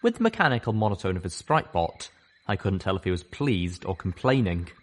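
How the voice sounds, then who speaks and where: A man reading a story aloud as first-person narration, expressive and dramatic. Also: low-pitched, fairly quick. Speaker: audiobook reader.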